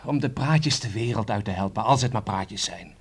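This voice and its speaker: An actor playing a reticent young seafarer in a Dutch radio drama, measured and hesitant yet quietly insistent. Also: male voice, fairly low pitch, expressive, gravelly, breathy edge, full character voice. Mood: firm. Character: young seafarer, reticent, stubborn, guarded family envoy.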